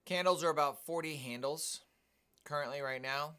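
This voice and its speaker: A man hosting a live day trading stream, calmly commenting on his trades and greeting viewers in the chat. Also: fairly high pitch, moderate pace, smooth. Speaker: day trading livestream host.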